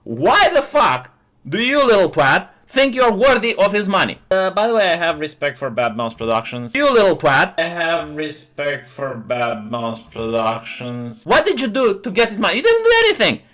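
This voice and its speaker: A man's voice: on the high side, very animated, moderate pace, fairly bright tone. A man in a heated online rant, indignant, stammering and hurling insults. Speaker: clipped opponent being rebutted.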